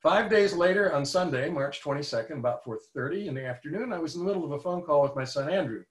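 A man in his seventies earnestly telling a personal faith story in a measured way. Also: fairly high pitch, expressive. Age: 70s. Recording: roomy, echoey room.

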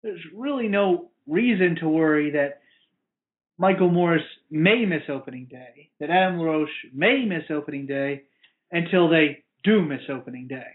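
Higher-pitched man, muffled lo-fi sound. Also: lively intonation, moderate pace. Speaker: sports podcast co-host. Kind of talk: casual sports chat. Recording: dull, lo-fi voice link.

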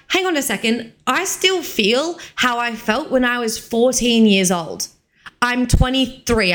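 A woman's voice: on the high side, fairly bright tone. A woman in her twenties with an Australian accent, talking casually and candidly on a solo podcast, repeating phrases for emphasis. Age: 20s. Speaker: podcast host, fitness coach.

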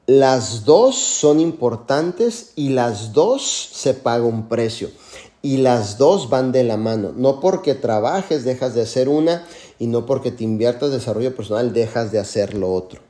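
A man's voice: mid-range voice, expressive, unhurried, mellow. A man mentoring on a group call, earnest and full of "este" fillers. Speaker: mentor answering questions.

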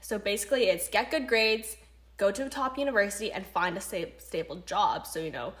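A woman's voice: medium pitch, a little fast, a bit bright. A young Canadian woman with a mid-range voice. She speaks as a casual podcast co-host, chatty and playful, with a self-deprecating streak. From Canada, born and raised in Vancouver. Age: young adult.

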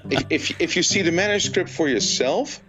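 A man's voice: fairly high pitch, expressive, normal speed, highs cut off, over music. A man in his fifties, English not his first language, answering interview questions hesitantly while searching for words. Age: 50s. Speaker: author guest. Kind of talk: casual interview.

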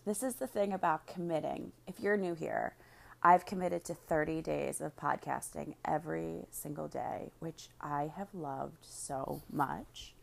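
A woman hosting a podcast, speaking directly to her listeners in a slightly raspy voice. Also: sits low, fairly even intonation, a little slow. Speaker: podcast host and business coach. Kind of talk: podcast monologue, promotional then casual chat.

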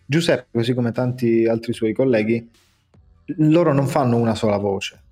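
A man's voice: medium pitch, moderate pace, mellow, faint music behind. A man hosting a podcast in a casual conversational style. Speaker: podcast host.

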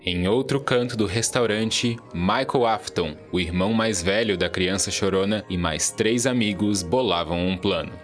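Guy narrating a story, lowish voice, scripted, soft music under it. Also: normal speed, few pauses.

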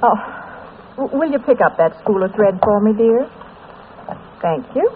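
An actress playing a weary, gentle but firm widowed mother in an old American radio drama, with a plain homespun manner. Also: mid-range voice, animated, moderate pace, natural voice. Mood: tired, gently firm. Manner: plain, homespun, mildly scolding. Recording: muffled, noisy old radio transcription.

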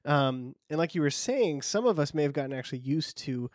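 Higher-voiced man hosting a podcast. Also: animated, no echo, clean studio sound. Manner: friendly, halting with fillers and restarts. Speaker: podcast host. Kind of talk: casual podcast chat.

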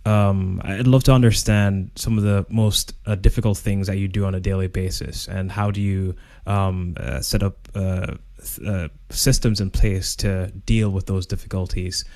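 A man hosting a podcast interview, asking questions haltingly with many fillers and restarts. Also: deep voice, fairly even intonation, a little mellow. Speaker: podcast host.

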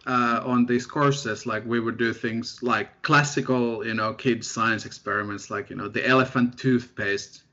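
A young man from Finland speaks in an easygoing, self-deprecating way, pausing often with fillers. His voice sits at a middle pitch. The recording sounds somewhat dull and lo-fi. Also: fairly even intonation, sharp tone. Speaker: guest researcher. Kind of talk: casual interview chat.

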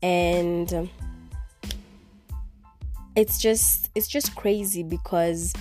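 A woman's voice: on the low side, fairly even intonation, extremely slow, mellow, soft background music. A young Kenyan woman whose first language is Kikuyu hosts a casual solo podcast from her bed. She records on her phone and rambles, filling her talk with "like" and slipping in Swahili words. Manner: rambling, heavy use of "like", mixing in Swahili words. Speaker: podcast host.

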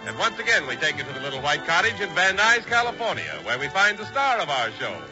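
A man announces in 1940s radio style, reading a scripted introduction. His pitch is high and lively. Music is prominent behind him, and the recording is dull. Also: fast talker.

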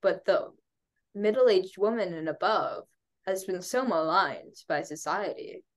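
A woman's voice: mid-range voice, measured pace. A young woman co-hosting a podcast, chatting casually with lots of fillers and restarts, sounding congested. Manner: rambling, many fillers and restarts, laughs often. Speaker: podcast co-host. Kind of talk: casual chat.

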